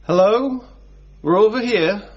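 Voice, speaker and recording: An actor playing a creepy, morbid pathologist in a radio comedy drama, talking in a pedantic, earnest and oddly formal way. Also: male voice, high voice, slow, muffled recording, noisy background. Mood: earnest, eerie. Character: creepy, morbid male pathologist who befriends corpses.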